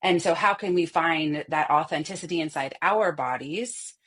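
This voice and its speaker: A woman's voice: low-pitched, normal speed, fairly bright tone, breathy edge. A woman voice coach speaking expressively as a podcast guest, often laughing and acting out short vocal examples. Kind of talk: animated podcast interview.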